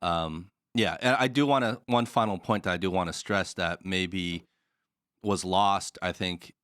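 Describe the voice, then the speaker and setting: Earnest low-voiced man, smooth tone. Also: measured pace, a bit bright, dry recording, clean studio sound. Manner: earnest, heavy use of "like". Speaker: podcast co-host. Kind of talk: casual podcast chat. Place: studio in Shanghai.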